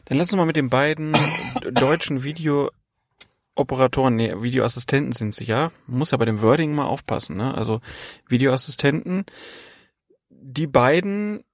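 A man's voice: medium pitch, normal speed, no echo. A man, co-hosting a podcast, who asks questions and coughs now and then. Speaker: podcast co-host asking questions. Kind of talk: casual podcast chat.

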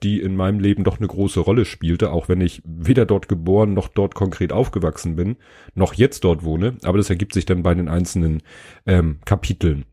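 Hoarse low-voiced man with a cold. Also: mellow, no room sound, clean studio sound. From Hamburg, Germany. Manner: rambling, many fillers and self-corrections. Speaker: podcast host. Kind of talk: casual solo book podcast.

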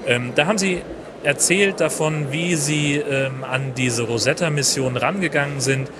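A man hosting his podcast in a chatty, relaxed way with many fillers and restarts, recorded outdoors at a busy conference venue. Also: a little high, measured pace. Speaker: podcast host. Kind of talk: casual solo podcast recap. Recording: noisy outdoor background. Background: crowd and traffic ambience.

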